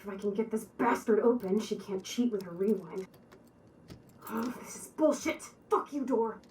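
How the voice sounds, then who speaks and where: A woman's voice: medium pitch, normal speed, a little mellow, a little hiss or hum, natural voice, low-quality recording. A young American woman playing a brash, rebellious, impulsive punk teenage girl in a video game, cocky and slangy. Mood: frustrated. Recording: music behind the voice.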